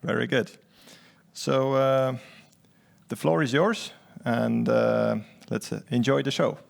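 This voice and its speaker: A man hosts a conference and chats casually with his guest, cracking jokes and stumbling through plenty of fillers. His voice is mid-pitched with a gravelly edge. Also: fairly even intonation. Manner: joking, halting with many fillers. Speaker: conference host. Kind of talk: casual onstage chat.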